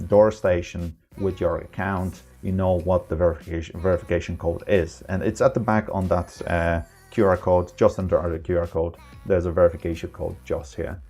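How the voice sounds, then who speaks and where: A man presenting an informal, unscripted software tutorial, explaining step by step in a halting, hesitant manner. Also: low voice. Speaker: product trainer, tutorial presenter.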